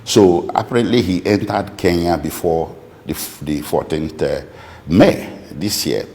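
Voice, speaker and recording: A man with a Nigerian accent answering interview questions as a lawyer, speaking deliberately and haltingly with precise detail. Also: sits low, darkish tone. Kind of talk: interview answers recounting a client's account. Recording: echoey room with long reverb.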